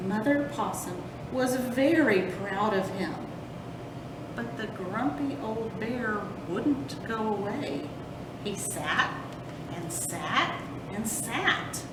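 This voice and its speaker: A woman reads a children's storybook aloud at an unhurried pace. Her pitch swings widely as she leans dramatically on action words. There is a rumbling noise behind her. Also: sing-song. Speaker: storytime host reading a picture book. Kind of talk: expressive children's storybook narration. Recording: roomy, distant mic with rumbling noise.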